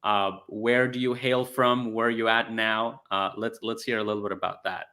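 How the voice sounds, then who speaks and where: Guy hosting a podcast interview, lowish voice, lots of uh and um. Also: flat delivery, normal speed, sharp tone. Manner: hesitant, many fillers. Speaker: podcast host.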